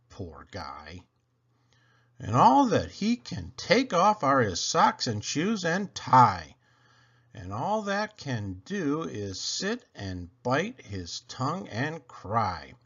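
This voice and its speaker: A man reading children's poems aloud in a theatrical, playful way, with dramatic emphasis and pauses. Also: on the high side, a bit bright, husky, lo-fi sound. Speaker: reader of children's poems for a read-aloud channel.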